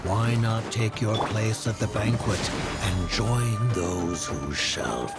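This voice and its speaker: Dark game figure: grave male tempter, deep and unhurried, under loud music. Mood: ominous.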